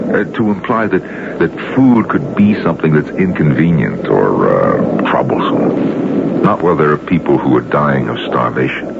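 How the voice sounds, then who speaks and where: Very deep-voiced apologetic businessman. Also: sing-song, moderate pace, creaky, exaggerated voice. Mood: apologetic, earnest. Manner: hesitant, apologetic, polite. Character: earnest, apologetic male businessman, anxious to explain himself. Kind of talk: radio drama acting. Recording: muffled, very noisy old radio broadcast.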